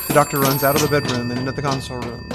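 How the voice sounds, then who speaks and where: A man narrates an audio drama from a script. His voice sits at a medium pitch, and music plays clearly underneath it. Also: level tone, very fast.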